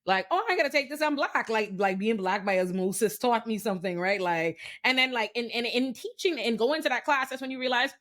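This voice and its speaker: Fast-talking, animated Bahamian-raised woman. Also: fairly high pitch. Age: 30s. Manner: fast, animated, emphatic storytelling. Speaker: podcast host. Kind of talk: casual chat.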